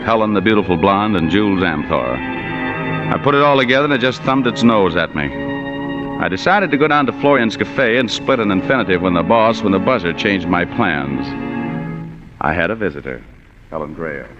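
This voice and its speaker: An actor playing a cynical, wisecracking private detective in a 1940s noir radio drama, deadpan and clipped. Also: male voice, low voice, brisk. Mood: wry narrating. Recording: muffled old radio broadcast, music behind.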